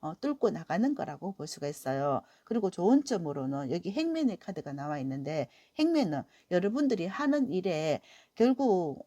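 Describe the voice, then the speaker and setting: Hoarse woman reading tarot. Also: on the low side, dry recording. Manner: gentle, encouraging, many "eo" fillers. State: hoarse from a cold. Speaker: tarot reader. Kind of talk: weekly tarot reading to viewers.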